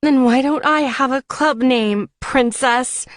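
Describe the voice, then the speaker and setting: A woman's voice: sits high, takes it slowly, no echo, clean studio sound, voice pushed up. A woman voicing a weary, insecure young woman in an audiobook, stubborn, bitter and defiant. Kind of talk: audiobook character dialogue.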